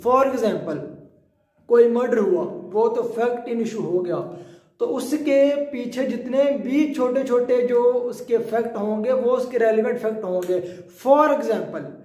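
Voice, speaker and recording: A man's voice: very high voice, takes it slowly, darkish tone. A man with a Pakistani accent giving an explanatory law lecture, didactically repeating and rephrasing his points. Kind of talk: explanatory lecture in Urdu mixed with English legal terms. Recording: roomy, echoing sound.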